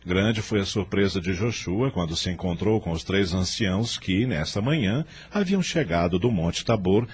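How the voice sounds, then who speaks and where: Deep, expressive Brazilian male narrator. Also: normal speed, hardly any pauses, breathy edge. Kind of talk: dramatic scripted story narration.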